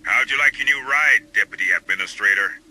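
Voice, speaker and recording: A man's voice: on the low side, a little fast, sharp tone, poor audio. A man playing a hardened, wry military squad leader in a video game, speaking in a terse, commanding, dry way. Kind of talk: video game cutscene acting.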